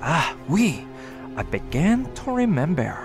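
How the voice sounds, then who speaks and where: A quirky French professor speaks in a thick French accent sprinkled with French words. His voice is high and swoops widely. Game music plays faintly behind him. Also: wildly swooping pitch, takes it slowly, heavily acted. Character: eccentric French male professor, airy.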